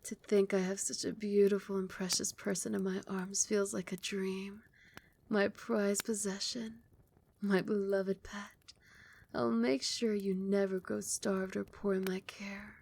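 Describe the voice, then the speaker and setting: A doting vampire queen, played by a woman, murmurs in an ASMR roleplay. Her voice is soft and breathy and often slips into a whisper. She is soothing and possessive, regal yet tender, with a mid-pitched register. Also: little pitch movement, measured pace. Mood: adoring.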